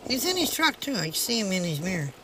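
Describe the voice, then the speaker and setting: A man's voice: high-pitched, sing-song, quick pace. A man driving a truck, chatting casually to his viewers as he goes. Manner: chatty, talks to viewers and other drivers as he goes. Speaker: truck driver vlogger. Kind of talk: casual narration while driving. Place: inside a moving truck cab. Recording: truck cab with engine and road noise.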